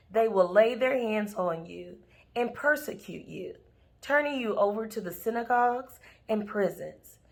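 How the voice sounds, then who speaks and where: A woman reading scripture aloud in a measured, deliberate way, pausing between phrases. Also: mid-range voice. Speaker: scripture reader.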